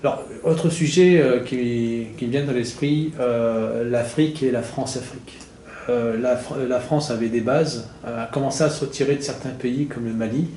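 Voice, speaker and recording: A man's voice: mid-range voice, fairly even intonation, normal speed, dark tone, roomy sound, a little hiss or hum. A man interviewing a guest, asking long questions hesitantly with many fillers.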